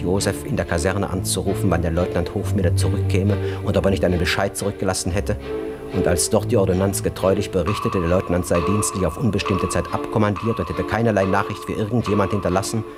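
A man narrating a literary radio drama in an intense, terse and dramatic manner. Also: low-pitched. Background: music.

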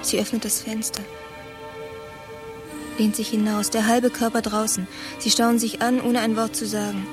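A woman's voice: on the high side, little pitch movement, moderate pace, long pauses, music in the background, lightly acted. A woman playing a nosy, judgmental onlooker in a radio play, reporting what she spies in short, insinuating phrases. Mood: mounting fascination.

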